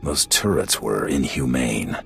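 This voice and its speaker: An actor playing a tough, wary Imperial hero in a video game, gravelly, terse and cool. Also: male voice, a bass voice, unhurried, fairly bright tone, faint music behind, heavily acted. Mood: cool, guarded. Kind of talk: video game cutscene acting.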